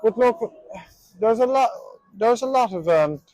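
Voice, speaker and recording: A man chatting casually on the street in a halting way, repeating words and trailing off. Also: very high voice, animated, a little mellow, no echo, rough recording. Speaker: passer-by who streams. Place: outdoors on a town street.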